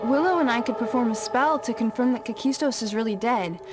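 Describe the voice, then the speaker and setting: An earnest young witch, played by a woman, with a slightly high voice. She is hesitant and careful in video game dialogue. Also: fairly quick, music in the background, close to an everyday voice. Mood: earnest, tentative.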